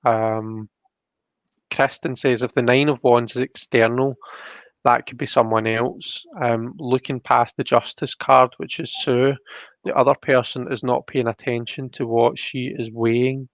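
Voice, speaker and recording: A man's voice: mid-range voice, level tone, unhurried, no echo, highs cut off. A man hosting a casual tarot reading, explaining the cards in a hesitant, rambling way.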